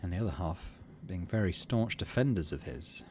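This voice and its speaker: Low-voiced man with lively intonation. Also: moderate pace, slight background noise. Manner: conversational, musing. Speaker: gaming video host. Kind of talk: casual playthrough commentary and narration.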